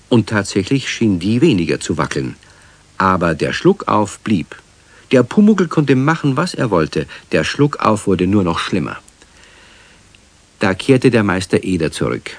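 A man's voice: on the low side, no room sound, exaggerated voice. A man narrating a children's radio play as a storyteller, reading scripted prose.

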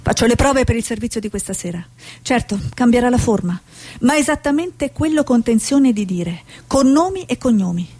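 An actress playing a determined, curious Italian TV reporter in a radio drama, probing and persistent. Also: female voice, on the high side, animated, moderate pace, darkish tone, breathy edge. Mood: sardonic, indignant.

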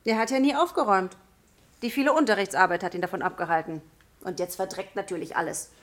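A woman's voice: mid-range voice, fast talker, a bit bright, natural voice. A woman playing a flight school assistant in a radio drama, clipped, stern and suspicious. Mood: curt, guarded. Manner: clipped, stern, matter-of-fact. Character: curt, suspicious female flight instructor's assistant.

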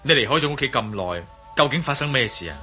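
A man's voice: mid-range voice, animated, fast, pushed and bright, faint music behind. A man playing an insistent, impatient investigator in a radio drama, pressing with questions in Hong Kong Cantonese. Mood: concerned, probing. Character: insistent, impatient male investigator, master of the house.

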